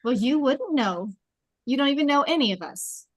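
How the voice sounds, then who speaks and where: A snooty popular schoolgirl , voiced by a woman in a drama read. Her voice is fairly high and closely recorded, with a cutting, condescending edge. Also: lively intonation, moderate pace, breathy edge, no room sound. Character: haughty, judgmental popular rich teenage girl. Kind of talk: script table read.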